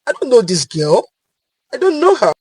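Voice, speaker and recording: A woman narrator puts on a smug rich man in a dramatic tale. He is boastful and dismissive, with mobile pitch, and the sound is dry. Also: huge pitch swings, moderate pace, darkish tone, husky, no room sound, exaggerated voice. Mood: cold, dismissive. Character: smug, callous rich male fraudster. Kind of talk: dramatic storybook dialogue.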